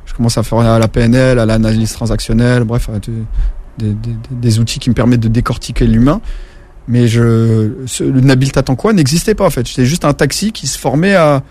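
A man's voice: mid-range voice, fairly even intonation, brisk, darkish tone. A man from France, a former taxi driver, talking casually as an interview guest, rambling and slangy with many restarts.

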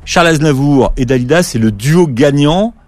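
A man's voice: fairly high pitch, lively intonation, normal speed, darkish tone, no echo. A man hosting an interview, introducing a song and prompting his guest with short questions and remarks. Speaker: interview host. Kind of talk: radio-style interview.

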